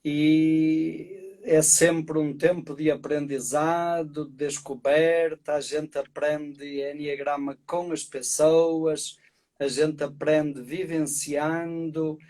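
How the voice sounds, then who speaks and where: A middle-aged man from Portugal, a priest, explaining calmly and reflectively as a guest on a live video call. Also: a little high. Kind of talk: live-stream conversation.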